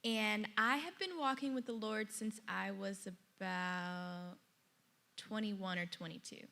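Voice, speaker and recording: Bright-voiced woman in her twenties. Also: mid-range voice, takes it slowly, vocal fry. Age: 20s. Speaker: panel guest.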